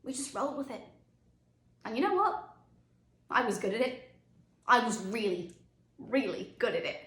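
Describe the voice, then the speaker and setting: A woman's voice: fairly high pitch, big pitch swings, moderate pace, a bit bright. A woman performing a dramatic monologue as a driven, candid, haunted mother, speaking emphatically with theatrical swings in volume. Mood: candid, intense. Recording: roomy echoing sound.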